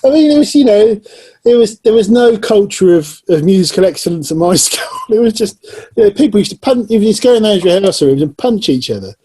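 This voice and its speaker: Casting: mellow, husky, high-voiced man from Northamptonshire, chatty podcast host. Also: big pitch swings, brisk. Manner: chatty, laughing asides, conversational. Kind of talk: casual interview chat.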